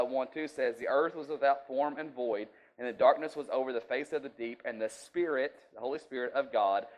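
A man's voice: mid-range voice, a little mellow, poor audio. A man preaching an informal sermon in a folksy, conversational way, checking in with his listeners often. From rural US.

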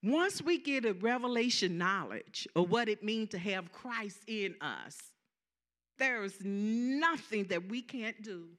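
A woman with a Southern accent delivers a sermon at an unhurried pace, leaning hard on repeated phrases and checking in with her listeners for an amen. Her voice is bright and forceful, and her pitch sits in a middle range for a woman. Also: expressive. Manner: emphatic preaching, repeats phrases, asks the congregation for amens. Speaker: preacher.

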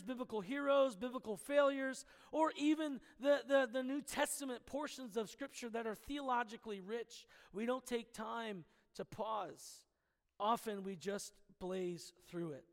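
Scratchy-voiced pastor in a reverberant hall. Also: male voice, very high voice. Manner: storytelling, animated, shouting in places. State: slightly hoarse from yelling. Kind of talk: sermon.

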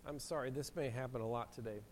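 A male pastor preaching a sermon in a church, hoarse from a cold and often clearing his throat. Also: medium pitch, steady tone, fast, a little mellow, slight background noise. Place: church sanctuary. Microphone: handheld mic.

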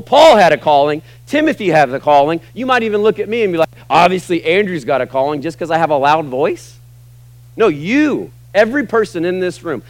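A middle-aged American man preaching a sermon to a church congregation, emphatic, moving between loud, punchy lines and quiet, low asides. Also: dry recording. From United States. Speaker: pastor. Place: church sanctuary.

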